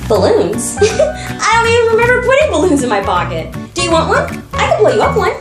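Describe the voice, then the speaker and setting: A woman hosting an interactive children's show, talking directly to young viewers in an exaggerated, animated manner. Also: extremely high pitch, fairly quick. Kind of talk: interactive children's read-aloud and science show. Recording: echoey room with music. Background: music.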